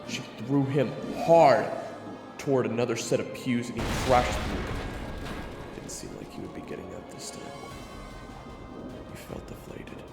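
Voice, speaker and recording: A man's voice: mid-range voice, a little slow, vocal fry, long pauses. A man giving scripted, dramatic narration for an audio roleplay story. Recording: echoey reverb, music behind.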